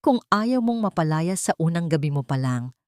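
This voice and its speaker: A woman's voice: sits low, animated, moderate pace, no echo, studio quality. A woman voicing a cold, cruel elderly mistress of a mansion in an audiobook, stern and commanding. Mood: threatening.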